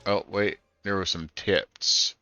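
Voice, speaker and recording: A man's voice: sits low, steady tone, slow, bright, forceful tone, clear, resonant tone, dry recording, dull audio. A man giving casual first-time commentary on a video game, thinking aloud as he plays. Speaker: gaming streamer. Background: game music.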